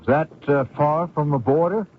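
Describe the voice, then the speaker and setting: A man's voice: fairly high pitch, moderate pace, natural voice. An actor playing an anxious young male fugitive, hesitant, polite and evasive, in old-time radio drama style. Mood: nervous, evasive. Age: young adult. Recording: noisy lo-fi old radio recording.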